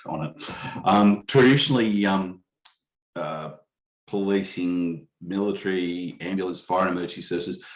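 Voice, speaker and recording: Deep-voiced man, halting on a call. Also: reverberant, low-quality recording. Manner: halting, many ums and restarts. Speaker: panellist. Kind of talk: unscripted panel answer. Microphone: video call.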